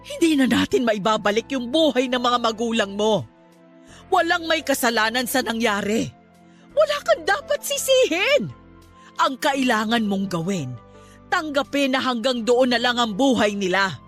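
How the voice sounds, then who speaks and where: A woman's voice: fairly high pitch, big pitch swings, moderate pace, a bit bright, soft background music. A woman playing a stern but caring middle-aged religious aunt in an emphatic Tagalog radio drama. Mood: pleading, consoling. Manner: emphatic, scolding then consoling.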